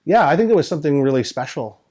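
A man, giving earnest, measured answers in an interview as a tattoo artist and event organizer. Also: fairly high pitch, expressive, dark tone, slightly rough. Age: 40s. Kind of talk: interview answers, reflective conversation. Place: small indoor tattoo studio room.

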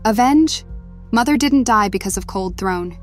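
A woman's voice: mid-range voice, animated, a little slow, soft background music, lightly acted. Woman acting guarded, stubborn young female soldier. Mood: bitter. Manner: guarded, firm. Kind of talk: scripted audio drama acting.

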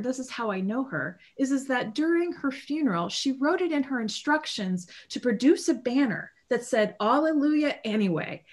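A woman in her thirties, a priest, gives a sermon from a room at home over a video call. Her voice sits a little high, and she talks in a loose, earnest way, with fillers and self-corrections. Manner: conversational, earnest, with frequent fillers and restarts. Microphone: video call webcam.

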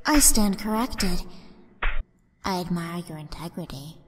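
A woman's voice: fairly low pitch, close to an everyday voice. A woman playing a cold, vengeful demonic child in a fan comic dub, menacing and sometimes whispery. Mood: composed. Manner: slow, menacing, whispery at times. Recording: long reverb, loud music behind.

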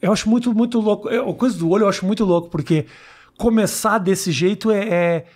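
A man with a high voice and a Brazilian Portuguese accent hosts a podcast interview. His talk is informal and slangy, spoken close into a studio microphone. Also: fairly quick, darkish tone. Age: 40s. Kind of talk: casual interview chat. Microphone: close studio microphone on boom arm.

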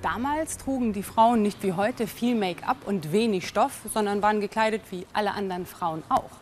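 A woman reads documentary narration in a measured, even way. Clear background music plays under her voice throughout. Her pitch sits in a middle range. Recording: narration over loud music.

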